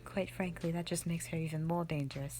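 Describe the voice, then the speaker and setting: A woman playing a strict, commanding mother who leads a warrior band, speaking sternly and deliberately in a roleplay audio drama addressed to the listener. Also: lowish voice, steady tone, darkish tone, soft background music, close to an everyday voice. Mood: grave, stern. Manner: stern, deliberate, halting delivery with sighs.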